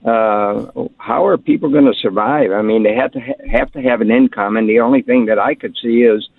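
A man in his seventies calling in to a talk radio show, speaking hesitantly with many pauses. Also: medium pitch, steady tone, vocal fry, dry recording. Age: 70s. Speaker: caller. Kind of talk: phone-in call. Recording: tinny phone line.

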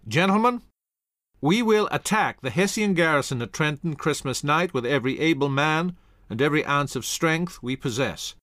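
A man's voice: fairly high pitch, lively intonation, normal speed, fairly bright tone, no echo, studio quality, voice pushed up. A man voicing a commanding male general who speaks in formal, rousing tones.

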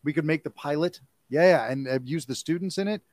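A man's voice: fairly high pitch, no echo. Upbeat man with a dry close voice. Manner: animated and jokey, quick to laugh. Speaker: guest, actor and audiobook narrator. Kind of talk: casual chat.

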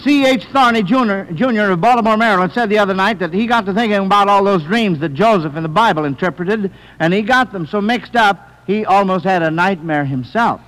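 A man's voice: sits high, fairly quick, hardly any pauses, fairly bright tone. An American man hosting an old-time radio quiz show in an encouraging, affirming manner. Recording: reverberant hall, vintage radio broadcast.

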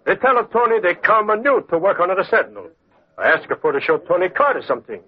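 A man's voice: high-pitched, expressive, fast, breathy edge. A man playing a trusting immigrant shopkeeper with a foreign accent and broken, obliging English in old radio drama. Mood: earnest, obliging. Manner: broken English, eager to please. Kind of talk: 1940s radio acting. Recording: muffled old radio recording.

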